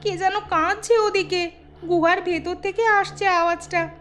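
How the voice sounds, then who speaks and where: A woman's voice: extremely high pitch, fairly even intonation, unhurried, fairly bright tone, pitched above the performer's own voice. A woman voicing a timid, fretful young village daughter-in-law in a folk tale, plaintive and anxious. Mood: frightened. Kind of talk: Bengali folk tale dramatization.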